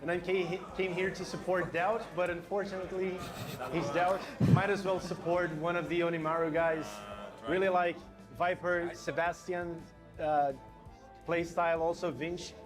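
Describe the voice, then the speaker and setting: A man from Brazil, a fan at the event, greeting the camera in a soft, somewhat hesitant way. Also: sits high, level tone, normal speed, music in the background.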